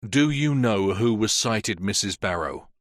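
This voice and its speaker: A man voicing a police inspector who questions a witness in a measured, firm way. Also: on the low side, lively intonation, no echo, studio quality. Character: stern, patient male police inspector. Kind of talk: audiobook character voice.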